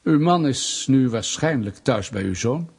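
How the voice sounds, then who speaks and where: Husky, menacing, condescending state official. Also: male voice, mid-range voice, lively intonation, a little slow, a little mellow, exaggerated voice. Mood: condescending, mocking. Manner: patronizing, sardonic, mock-polite. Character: menacing, condescending male Soviet state official, bureaucratic bully. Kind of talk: radio drama acting.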